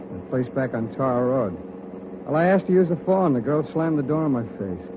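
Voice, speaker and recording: A man's voice: mid-range voice, natural voice. An actor playing a glib, defensive male suspect who wisecracks and protests, in 1950s radio drama style. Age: 30s. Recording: tinny, noisy old radio recording.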